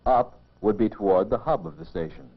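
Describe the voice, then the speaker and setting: Low-voiced man narrating, muffled old film sound. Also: breathy edge. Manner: measured, explanatory. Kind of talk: scripted mid-century educational film narration.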